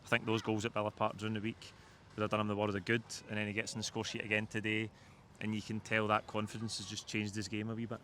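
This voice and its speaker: Scottish bloke hosting footy chat, very flat delivery, talks fast, faint noise outdoors. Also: male voice, on the low side. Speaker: host of football fan show. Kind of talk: post-match reaction chat. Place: outdoors outside a football stadium. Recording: outdoor ambient noise behind.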